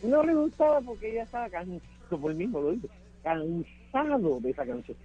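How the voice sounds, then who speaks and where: A man's voice: sits high, big pitch swings, fairly quick, mellow, highs cut off, faint music behind. A man with a Cuban accent reminiscing as an interview guest, emphatic and repeating words for effect. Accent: Cuban Spanish. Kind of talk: reminiscing conversation.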